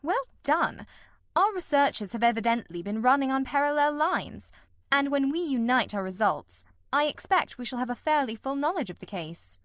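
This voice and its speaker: A woman's voice: high-pitched, big pitch swings, moderate pace, fairly bright tone, dry recording, clean studio sound, pitched above the performer's own voice. A woman voicing a keen, composed male detective in an audiobook, with a cold, incisive, precise and wryly ironical delivery. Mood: brisk, approving. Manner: ironical, precise, politely teasing. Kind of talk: audiobook character dialogue.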